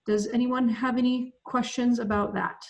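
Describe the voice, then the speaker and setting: A woman explaining a resolution to a public commission over a video call, clear and methodical with occasional fillers. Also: fairly high pitch, steady tone, moderate pace. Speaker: city staff presenter.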